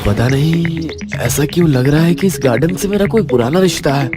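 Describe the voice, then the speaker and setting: Audio story lead: male actor as carefree young romantic, slightly high voice, over clear background music. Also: moderate pace, natural voice. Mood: curious. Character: carefree, romantic young man visiting his aunt.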